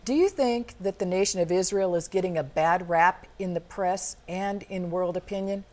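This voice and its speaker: A woman's voice: on the low side, normal speed. A woman hosting a TV interview show, asking questions in a friendly way and backing the guest with frequent "mm-hmm". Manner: friendly, with steady "mm-hmm" backchannels. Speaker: TV show host. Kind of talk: TV studio interview.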